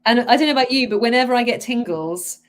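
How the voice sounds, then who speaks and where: Woman hypnotherapist host, soothing and slow, pitch a bit high. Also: a little hiss or hum. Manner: soothing, slow guiding cadence. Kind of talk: guided hypnosis and casual chat.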